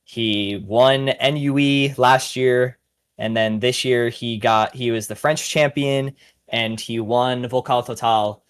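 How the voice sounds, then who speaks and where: A man with a mid-pitched voice talks as the host of a podcast. His commentary is casual and informal, with an enthusiastic way of presenting competitors. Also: fairly even intonation, takes it slowly, clear, resonant tone.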